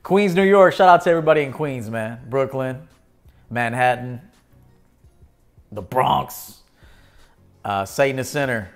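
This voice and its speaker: A man's voice: mid-range voice, measured pace, pauses often, soft background music. A man in his thirties hosting a live stream from a small indoor studio, casually reading and answering viewer comments. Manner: laid-back, shouting out viewers by name. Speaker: host.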